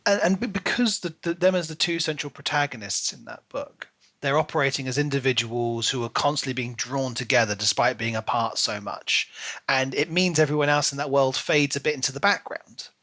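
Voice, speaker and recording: A man's voice: medium pitch, brisk, bright, forceful tone. A man co-hosting a podcast, talking casually and enthusiastically about books with emphatic delivery. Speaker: podcast co-host. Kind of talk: casual book discussion chat.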